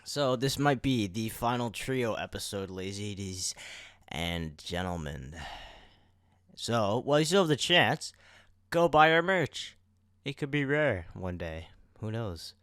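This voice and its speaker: Young male podcast co-host, low clean voice, dry sound, chatty with announcer touches. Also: very animated, slow, a bit bright, smooth, no echo. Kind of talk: casual podcast chat.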